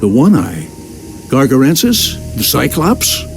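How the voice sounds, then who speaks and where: An actor playing a wise, steady male warrior guide in a video game, measured and serious. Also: medium pitch. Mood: concerned, serious. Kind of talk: video game cutscene acting. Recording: music behind the voice.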